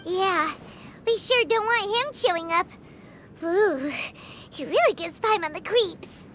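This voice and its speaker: A woman voicing a tiny, chirpy female companion from a game, who talks about herself by name in a squeaky voice. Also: animated, takes it slowly, a bit bright, slight background noise, heavily acted. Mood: uneasy. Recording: game score playing behind.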